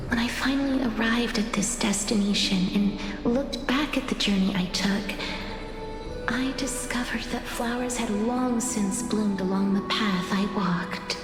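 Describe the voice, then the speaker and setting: A young actress voicing a gentle elder sister resigned to sacrifice in a video game, soft, solemn and measured. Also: female voice, fairly high pitch, natural voice. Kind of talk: dramatic video game voice acting. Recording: cavernous reverb with music behind.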